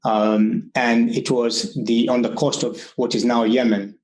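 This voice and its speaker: A man's voice: mid-range voice, little pitch movement, unhurried, a little mellow, echoey room, rough recording. Earnest man with a mixed Kenyan accent. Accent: mixed Kenyan and British. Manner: earnest, many restarts and fillers. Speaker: immigration consultant. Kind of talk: conversational interview.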